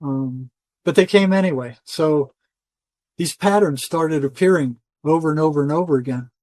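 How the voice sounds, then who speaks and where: A man's voice: on the high side, moderate pace, darkish tone, no echo. An older man talking casually as an interview guest, telling rambling stories in colloquial words and voicing quoted dialogue. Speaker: interview guest, retired psychotherapist. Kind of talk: conversational storytelling, casual interview.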